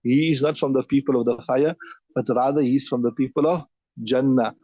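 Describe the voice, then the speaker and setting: A man's voice: mid-range voice, fairly even intonation, brisk, mellow, slightly rough, no room sound, muffled recording. A man teaching a hadith class, reading each line aloud and then explaining it step by step.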